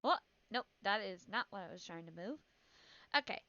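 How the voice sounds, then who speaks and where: A woman streamer reacting live in casual chat, excitable and jumpy, repeating herself when startled. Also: medium pitch, moderate pace, background noise. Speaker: streamer reacting to horror videos. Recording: dull lo-fi sound, music behind.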